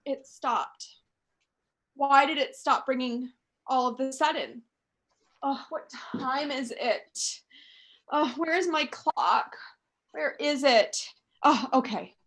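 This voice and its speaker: A bedridden woman in a radio drama, very high and piercingly bright in voice. She is nervous and imperious, fretting and complaining with melodramatic indignation. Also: slow pace, pauses often. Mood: anxious, fretful. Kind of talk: radio play acting.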